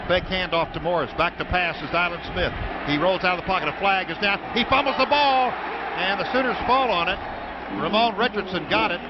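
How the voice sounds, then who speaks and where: A man's voice: high-pitched, fairly quick. An American man calling live football play-by-play on the radio from a stadium booth. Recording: dull, noisy old radio broadcast.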